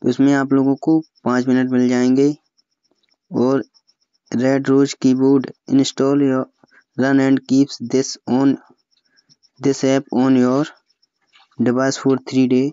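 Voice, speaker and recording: A young Indian man giving a step-by-step phone app tutorial as a voice-over, halting when he reads English aloud. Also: mid-range voice, monotone, dark tone, smooth, no room sound. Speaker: tutorial host. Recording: slightly dull, lo-fi, dry close recording.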